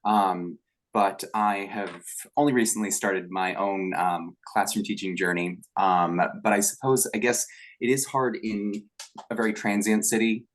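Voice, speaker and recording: A man's voice: fairly low pitch, fairly even intonation, a little slow. A young man, a graduate student, speaking hesitantly with lots of ums in a video call discussion.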